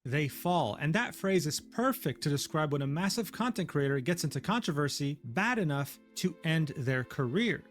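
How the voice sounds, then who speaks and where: A man reads scripted commentary in an even, steady delivery. His voice sits slightly high. Faint music plays underneath. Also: normal speed, hardly any pauses. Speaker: video narrator.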